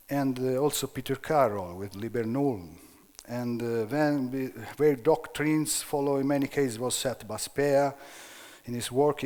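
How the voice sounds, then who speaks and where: A man's voice: medium pitch, a little mellow. A man in his sixties with an Italian accent, giving a conference lecture in English, hesitant and searching for words. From Italy. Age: 60s. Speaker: conference speaker. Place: conference hall. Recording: long hall reverb.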